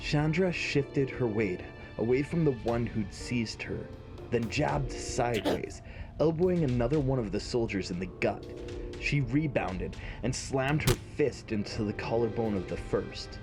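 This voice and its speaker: A man narrating a scripted fantasy story in a measured, dramatic way. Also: mid-range voice, animated, over music.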